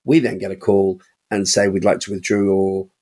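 Man telling animated auction stories. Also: low-pitched, normal speed. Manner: animated storytelling, acts out quoted voices, laughs often. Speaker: podcast co-host, auctioneer. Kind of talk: casual chat.

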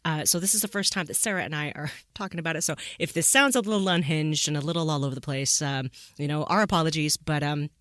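A woman's voice: low-pitched, fast talker, hardly any pauses, a bit bright, dry recording, clean studio sound. A woman hosting a podcast in a casual, chatty, amused and informal way. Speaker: podcast host.

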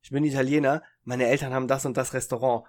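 A man's voice: medium pitch, flat delivery, fast. A young man from Germany, co-hosting a casual podcast chat, rambling with restarts and fillers and laughing often. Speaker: podcast co-host.

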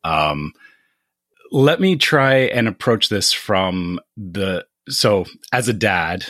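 A man's voice: sits low, expressive, slow pace, a bit bright, dry recording, clean studio sound. A man in his thirties co-hosting a casual podcast, hesitant and searching for words as he talks. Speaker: podcast co-host.

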